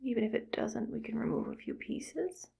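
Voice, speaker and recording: A woman's voice: on the high side, lively intonation, normal speed, gravelly. A woman playing a whispering evil scientist. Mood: coolly clinical. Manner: soft whispering, clinical and matter-of-fact. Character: cold, calm female evil scientist harvesting brains. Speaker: ASMR creator. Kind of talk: ASMR roleplay.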